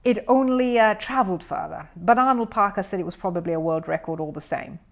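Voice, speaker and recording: A woman voicing an eager, chatty, excitable young boy in a children's storybook. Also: on the low side, a little fast, gravelly. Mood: eager explaining. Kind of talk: children's storybook character reading.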